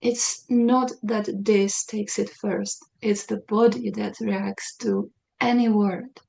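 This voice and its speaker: A woman hosts a relaxed conversation over a video call, her voice at an ordinary middle pitch. She talks in an animated way, often restarting her sentences as she reaches for exactly the right word. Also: takes it slowly, gravelly, rough recording. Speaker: podcast host. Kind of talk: casual conversation.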